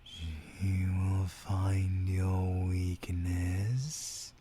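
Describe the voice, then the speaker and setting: A man's voice: low-pitched, steady tone, very slow, mellow, a little hiss or hum. A British man playing a sadistic, gloating male werewolf villain with a silky, menacing delivery that drops into whispers. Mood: gloating menace. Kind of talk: audio roleplay acting.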